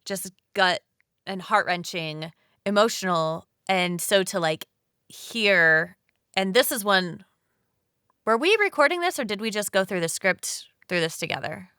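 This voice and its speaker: A woman with a bright, clear voice at an average pitch chats informally with castmates. She gushes in loose, filler-heavy phrases, recorded dry. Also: animated, unhurried, no echo. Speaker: voice cast member. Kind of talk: casual chat.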